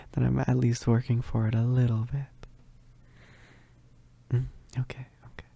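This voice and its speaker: Needy, bashful male werewolf roommate for ASMR, acted by a man: dark soft tone, creaky, halting. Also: mid-range voice, flat delivery, no echo. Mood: flustered, grateful. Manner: hesitant, stammering, bashful with soft chuckles. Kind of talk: intimate ASMR roleplay.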